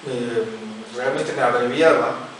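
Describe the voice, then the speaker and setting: A middle-aged man from the Peruvian Amazon tells a story at his book launch, often stopping and restarting. His voice sits in the middle range. It is picked up from far away in a very echoey hall with clear background noise. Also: medium pitch, steady tone, a bit bright. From Peru, Amazon region. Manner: halting, many restarts and fillers, anecdotal. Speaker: author presenting his book. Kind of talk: informal talk at a book presentation. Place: large echoey arts center hall.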